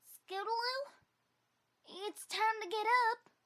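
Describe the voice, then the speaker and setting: A woman playing a young, earnest, excitable pony filly in an audio drama, with informal childlike speech. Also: very high voice, takes it slowly, exaggerated voice. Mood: worried, desperate.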